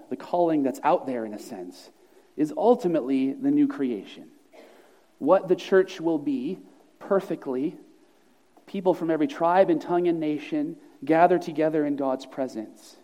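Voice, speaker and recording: A man preaching A pastor delivers an earnest, emphatic sermon, leaning on repeated phrases to drive each point home. His voice sits fairly high but sounds mellow and soft-edged. Long reverb from a large church hall trails after his words. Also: moderate pace. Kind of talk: sermon and closing prayer.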